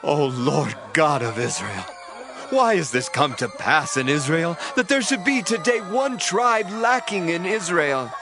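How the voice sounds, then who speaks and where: A man's voice: fairly high pitch, big pitch swings, measured pace. Male actor as grieving Israelite supplicant, raised voice that rises and falls widely, imploring, over strong music. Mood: anguished prayer. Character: anguished Israelite man pleading to God. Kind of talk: dramatized scripture acting. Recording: prominent background music.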